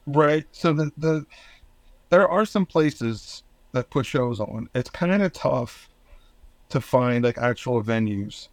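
A man's voice: mid-range voice, expressive, takes it slowly, dry recording. Rambling man from New Jersey. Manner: rambling, full of restarts and "like", swears casually. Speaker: podcast guest, band founder. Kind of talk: casual conversation.

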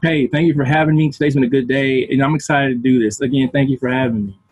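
A man's voice: medium pitch, steady tone, quick pace, breathy edge, no room sound, muffled recording. A man from Indiana, a podcast guest, polite and upbeat as he introduces himself. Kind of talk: casual interview talk.

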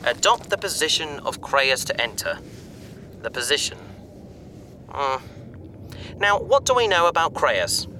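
A man's voice: fairly high pitch, sing-song, unhurried, long pauses, sharp tone, a little hiss or hum, full character voice. A man playing a bookish, enthusiastic space adventurer who explains things chattily in a sci-fi audio drama. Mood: puzzled, thinking. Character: male bookish, eager space adventurer and explorer.

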